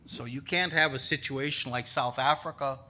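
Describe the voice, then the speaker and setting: A man's voice: medium pitch, steady tone, normal speed, bright, forceful tone. An older man, answering an audience question at a talk, hesitant at first with many restarts, then explaining earnestly. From United States. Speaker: guest speaker.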